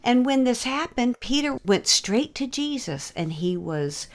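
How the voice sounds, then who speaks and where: A woman with a very lively, expressive pitch range teaches like a devotional host. She is earnest and instructive, explaining things conversationally and steering with rhetorical questions. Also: unhurried. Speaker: host and storyteller.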